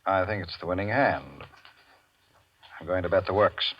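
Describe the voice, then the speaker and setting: On a muffled old radio recording, a cold gambling boss with a low, very husky voice. He is mocking and commanding, a menacing figure from a 1940s crime play. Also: normal speed. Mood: taunting. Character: cold, domineering, taunting male big-time gambler.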